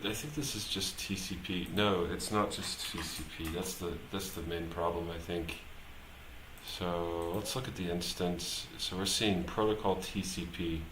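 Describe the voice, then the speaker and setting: A man thinking aloud as he live-streams his programming work, talking casually and haltingly, muttering to himself as he goes. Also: low voice, steady tone. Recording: roomy echo, clear background noise, typing.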